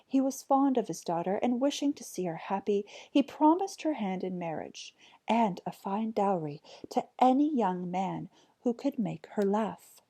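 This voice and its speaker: A woman reading a folk tale aloud as an expressive storyteller. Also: medium pitch, unhurried.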